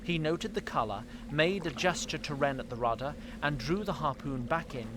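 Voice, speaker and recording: Male fantasy narrator over loud water ambience. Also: fairly high pitch, expressive, moderate pace, background noise. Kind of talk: scripted fantasy audio drama narration.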